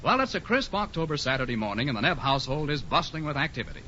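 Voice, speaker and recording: A man's voice: a little high, sing-song, fast talker, slight background noise. A man announcing a 1940s radio show with showy, theatrical scripted delivery. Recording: dull 1940s radio recording. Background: loud music.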